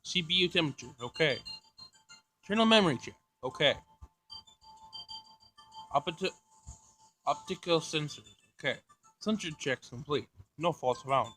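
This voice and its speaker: A man's voice: on the high side, animated, moderate pace, pauses often, sharp tone, soft background music. A man voicing a polite, literal-minded robot assistant from a visual novel, speaking in a precise, formal way. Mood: systematic. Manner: precise, formal, matter-of-fact. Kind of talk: visual novel character reading.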